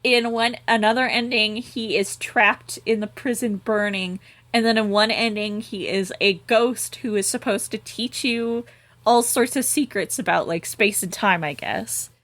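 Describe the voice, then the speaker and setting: A woman's voice: medium pitch, a little slow, fairly bright tone, dry recording. A woman co-hosting a podcast who reads a gamebook aloud and chats casually, laughing often and swearing freely. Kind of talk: casual chat and reading aloud.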